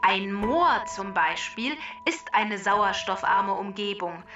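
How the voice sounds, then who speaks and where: A woman delivering scripted, factual explanations for children in a measured, instructive way. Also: mid-range voice, recorded from a distance, dull audio, music in the background. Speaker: expert explainer on a children's podcast.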